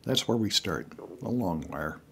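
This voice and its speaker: Older male hobbyist, deep and slow, explaining things casually and haltingly. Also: animated, creaky, fairly bright tone, slight background noise. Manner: halting, rambling, with frequent pauses. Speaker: amateur radio hobbyist giving advice to beginners. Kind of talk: casual unscripted how-to talk.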